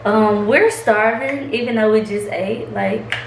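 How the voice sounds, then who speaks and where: A woman's voice: medium pitch, measured pace, noisy background. A young woman chatting casually and goofily to camera as a vlogger alongside her friend. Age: young adult. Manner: goofy, informal. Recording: echoey room with loud music behind.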